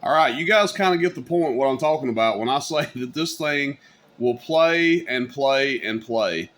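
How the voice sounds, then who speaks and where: A man's voice: mid-range voice, lively intonation, moderate pace, fairly bright tone. A man giving a casual, folksy, rambling hands-on demonstration as a hobbyist video host. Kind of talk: casual hands-on product demo. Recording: clear background noise.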